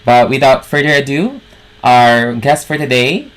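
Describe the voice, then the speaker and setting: A man's voice: medium pitch, animated, a little slow. A man from the Philippines hosting an online event, formally introducing the guest speaker. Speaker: host.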